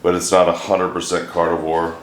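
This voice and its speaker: Deep hoarse guy rambling about his cooking, kinda joking around, echoey kitchen. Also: completely flat delivery, brisk. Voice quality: deep, a little hoarse from a throat bug. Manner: joking, rambling, dry self-deprecating humor. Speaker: vlogger, home cook. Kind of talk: casual cooking vlog chat. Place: home kitchen. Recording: echoey kitchen, faint hiss.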